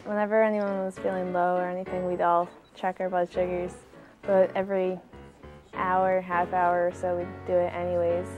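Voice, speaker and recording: An American teenage girl answers interview questions casually. Her pitch is lowish and stays very even, with faint music in the background. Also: normal speed. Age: teenager, about 15.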